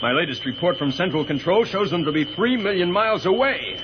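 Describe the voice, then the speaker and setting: A man's voice: sits high, animated, fairly quick. A man acting an alert, commanding rocket pilot with urgent authority in an old radio serial. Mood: urgent, worried. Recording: muffled old radio with loud noise.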